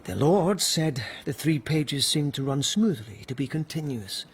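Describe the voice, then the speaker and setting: An actor playing a weary but dogged police lieutenant in a radio period mystery, speaking hesitantly and with earnest respect. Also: male voice, on the high side, expressive, measured pace, a little hiss or hum. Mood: deferential, uneasy.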